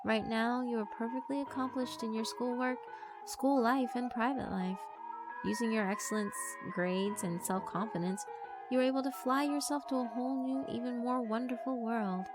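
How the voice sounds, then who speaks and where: A woman's voice: fairly high pitch, steady tone, normal speed, voice pushed up, lightly acted. A woman voicing a sweet, persuasive female figure who praises the bullies' cruelty. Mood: coaxing. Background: background music.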